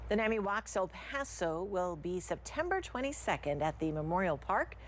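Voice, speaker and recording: American woman, TV news anchor, slightly low voice, scripted read, faint noise behind. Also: lively intonation, moderate pace.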